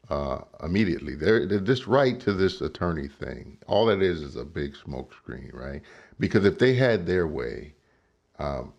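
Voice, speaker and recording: Male commentator, low gravelly voice, lively delivery, dull lo-fi sound. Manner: emphatic, asks rhetorical questions, says "right?" often. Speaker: political commentator. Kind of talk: reaction commentary on a clip.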